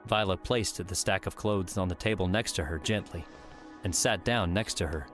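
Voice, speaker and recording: A man's voice: low-pitched, moderate pace, a bit bright. A man narrating a scripted audiobook story, with music playing underneath.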